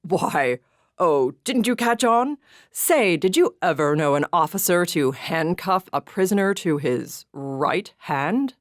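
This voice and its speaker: A woman's voice: fairly low pitch, expressive, slow, no echo, clean studio sound. A woman voicing a chatty male train passenger who speaks in a casual, knowing way.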